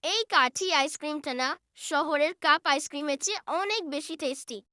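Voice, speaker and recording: An excitable little girl in a children's cartoon, voiced by a woman, high in pitch. She speaks with childlike eagerness, as if every small thing matters. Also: normal speed, no room sound, studio quality. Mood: eager. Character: excitable young village-visiting girl, Faiza. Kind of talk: children's animated story dialogue.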